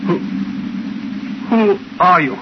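An actor playing an obsessed, impatient scientist who talks with urgent intensity in an old radio drama. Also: male voice, high voice, expressive, slow. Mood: eager. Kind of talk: 1940s radio acting. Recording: hissy, muffled old radio recording.

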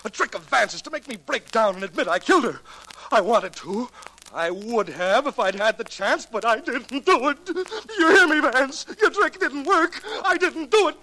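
An agitated, defensive husband from a 1940s radio mystery. His voice is very high and bright, pushed hard with insistent, overwrought emphasis. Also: animated, moderate pace, exaggerated voice, poor audio. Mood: frantic, raging. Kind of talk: 1940s radio detective drama acting.

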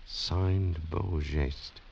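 An actor playing a grave Foreign Legion officer, solemn and dramatic, in an old-time radio drama. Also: male voice, low voice, fairly even intonation, extremely slow, gravelly, darkish tone, slightly rough, heavily acted. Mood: slow reading. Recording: dull vintage radio broadcast, music behind.

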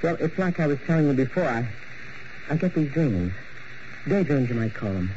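A man's voice: mid-range voice, sing-song, normal speed, darkish tone, close to an everyday voice. A man playing an unstable, dreamy and grandiose ballet dancer, theatrical and intense in 1940s radio mystery style. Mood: troubled, dreamy. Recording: muffled, hissy old radio broadcast.

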